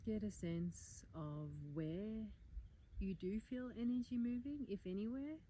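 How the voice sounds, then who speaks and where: A woman leading a guided meditation in a calm, gentle, inviting manner, with measured phrases and pauses. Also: medium pitch, slight background noise, rough recording. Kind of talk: guided meditation, soft slow instruction.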